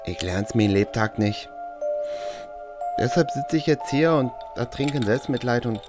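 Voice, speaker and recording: A man's voice: medium pitch, normal speed, natural voice. A man playing a naive, self-pitying young miller's son in a fairy-tale audio drama, rambling in colloquial dialect grammar. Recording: music under the voice. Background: music.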